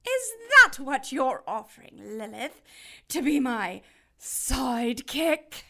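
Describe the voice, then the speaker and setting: Shrill, gloating cherub villainess. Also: female voice, big pitch swings, slow, gravelly, sharp tone, full character voice. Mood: mocking. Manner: taunting, theatrical. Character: gloating, power-hungry cherub villainess, cruel and theatrical. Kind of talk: audio drama acting.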